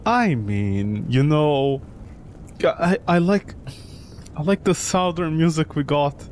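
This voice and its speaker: A man's voice: fairly high pitch, expressive, takes it slowly, darkish tone, slight background noise. A man streaming a game with casual, mocking, exasperated commentary and plenty of swearing. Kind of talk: casual, profane gameplay commentary.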